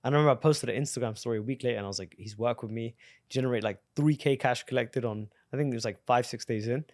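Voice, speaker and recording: A man's voice: medium pitch, quick pace, darkish tone, no room sound. A male podcast host talks in an enthusiastic, profane way, full of bro slang. His voice sits mid-range and sounds dry and close. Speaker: podcast host and business coach. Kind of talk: casual interview conversation.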